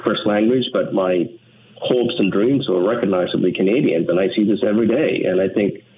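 Man from sri lanka, lowish voice, measured earnest talk, tinny phone line, faint hiss. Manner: measured, earnest, with frequent restarts. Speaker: interview guest, author. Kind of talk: reflective interview talk.

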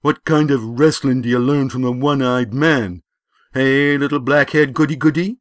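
A man voicing a loud, bullying, heavy-set village boy in a storybook, with rough, taunting speech. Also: mid-range voice, fairly even intonation, moderate pace, dry recording, studio quality, pitched above the performer's own voice, lightly acted. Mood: jeering. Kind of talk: storybook character voice.

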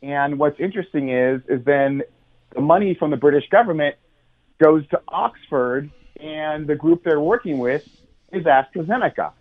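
A man's voice: on the high side, moderate pace. An American man, a journalist guest, explaining at length in an earnest way, with many ums and restarts, speaking over the phone on talk radio. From United States. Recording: tinny phone line.

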